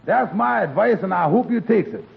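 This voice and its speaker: An actor playing a blunt male friend who scolds his pals, with a gravelly, husky voice and dialect speech, in 1940s radio comedy. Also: high-pitched, animated, normal speed, slight background noise, full character voice. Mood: lecturing. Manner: lecturing, frank. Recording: tinny old radio broadcast recording.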